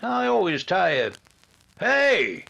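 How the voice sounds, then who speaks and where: An actor playing a helpful, easily flustered village blacksmith who stammers a little in a radio drama. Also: male voice, high voice, huge pitch swings, slow, creaky, sharp tone, exaggerated voice. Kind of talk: old-time radio drama acting.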